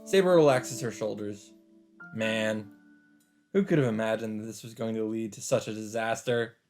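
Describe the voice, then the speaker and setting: A man's voice: on the low side, normal speed, darkish tone. Man reading a visual novel over music. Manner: mock-dramatic, interjects swearing and side remarks. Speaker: let's play host reading a visual novel. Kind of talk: reading game narration aloud with casual commentary. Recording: game music under the voice. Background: visual novel background music.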